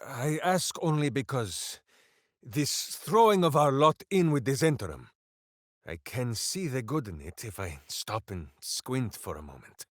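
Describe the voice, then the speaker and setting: Boisterous brawny hero, video game character, theatrical, good-hearted, simple, extremely varied intonation, unhurried pace. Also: male voice, medium pitch, big pitch swings, dry recording, studio quality. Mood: grave, musing. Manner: theatrical, bombastic, declamatory, talks in grand quaint phrases. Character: big brawny good-hearted warrior, boisterous, simple, righteous, devoted to hamster.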